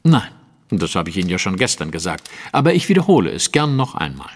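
An actor playing a composed, commanding Victorian detective in a German audio drama, speaking precisely and with theatrical authority. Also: male voice, low voice, very animated, fast, long reverb tail. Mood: calm, certain. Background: music.

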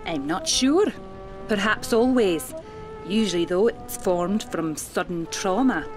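A woman playing an elderly spirit medium in a period audio drama, prim and dryly teasing, speaking in a deliberate, proper way. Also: medium pitch, animated, over music. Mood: earnest.

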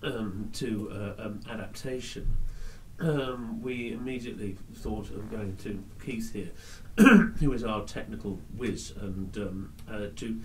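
An actor being interviewed who talks in an animated, chatty way with frequent restarts. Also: male voice, fairly low pitch, measured pace, far from the mic, a little hiss or hum, low-quality recording. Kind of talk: casual interview talk.

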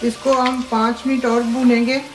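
A woman hosting a home cooking video, giving plain, unhurried step-by-step recipe instructions with short pauses between ingredients. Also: fairly high pitch, little pitch movement, noisy background. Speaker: cooking show host. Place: home kitchen. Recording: echoey room with background music.

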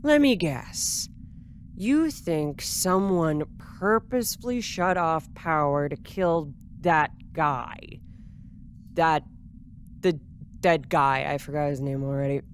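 A woman's voice: low voice, slow, a little hiss or hum. A woman playing a blunt, hard-partying security officer in an audio drama, with a casual, unimpressed drawl.

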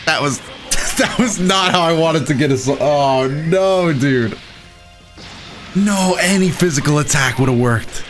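A man hosting a gaming video with casual, excited, exclamatory and self-deprecating play-by-play commentary. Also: a little high, unhurried. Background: game music behind the voice.

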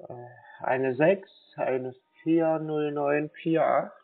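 A man on a phone call, talking as a confused customer in a halting, dithering way and repeating himself. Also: on the high side, fairly even intonation, vocal fry. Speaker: scam baiter playing a gullible customer. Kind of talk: phone call, slow put-on pensioner act. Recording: dull band-limited phone line.

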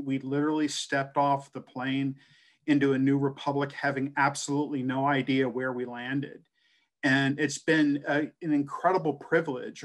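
A middle-aged American man telling his own story as a scholar and translator, earnest and full of uh fillers and restarts, speaking over a webcam video call. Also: mid-range voice. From American West. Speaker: translator and scholar giving a talk. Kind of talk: personal presentation on a video call.

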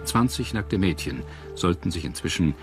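Deep-voiced male documentary narrator over music. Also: expressive, normal speed. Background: background music.

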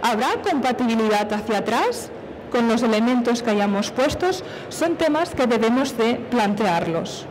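A middle-aged woman speaks on stage as a conference presenter, with a fairly high voice. She explains technical points methodically, framing them with questions. There is some faint background noise from the event space. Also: big pitch swings, unhurried, low-quality recording. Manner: explanatory, with self-posed questions. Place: on stage at a conference, filmed from a distance.

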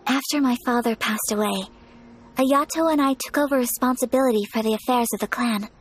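A young woman voicing a gentle, composed and earnest noblewoman with a soft, polite, measured manner in a video game. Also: fairly high pitch, husky, soft background music.

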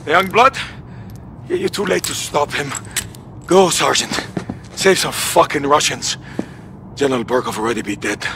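A man's voice: on the high side, moderate pace, long pauses, background noise, lightly acted. A man playing a captured terrorist in a video game, a vicious and defiant prisoner. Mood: bitter defiance. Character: vicious, taunting male terrorist prisoner, defiant then desperate. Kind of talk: cinematic video game acting.

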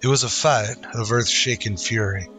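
A man's voice: fairly low pitch, normal speed, loud music behind the voice, rough recording. A man narrating a scripted western in dramatic old-time radio style.

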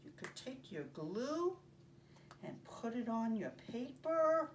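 An older woman presenting story time for young children, speaking in simple, deliberate phrases with pauses as she reads and demonstrates. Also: a little high, big pitch swings, darkish tone. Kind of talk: read-aloud and craft demonstration for young children. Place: carpeted home living room. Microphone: camera a few feet away. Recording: distant mic, faint room noise.

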